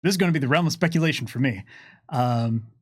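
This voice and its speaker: A man's voice: mid-range voice, fast talker. A man chatting casually on a livestream Q&A, reading out questions and answering them in a hesitant, thinking-aloud way. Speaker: game lore panelist reading viewer questions.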